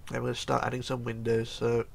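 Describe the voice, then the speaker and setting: A man's voice: mid-range voice, completely flat delivery, quick pace, vocal fry. A middle-aged man with a cold talks through a casual game build tutorial at his home computer desk, chatting and counting blocks aloud, often mumbling as he goes. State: hoarse with a cold. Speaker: tutorial host.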